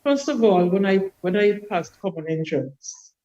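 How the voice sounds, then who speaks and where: A woman, a podcast guest telling her life story in a reflective, unhurried way. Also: mid-range voice, animated, dark tone, highs cut off. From Dominica. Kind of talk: personal life story in an interview.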